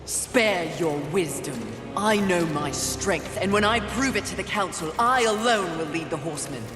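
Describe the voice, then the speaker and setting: A woman's voice: fairly low pitch, animated, normal speed, natural voice. An actress playing a fierce, proud, impatient female horseman of the apocalypse in a fantasy game, with a haughty, defiant manner. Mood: proud, determined. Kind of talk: dramatic fantasy game cutscene acting. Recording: echoing reverb, music underneath.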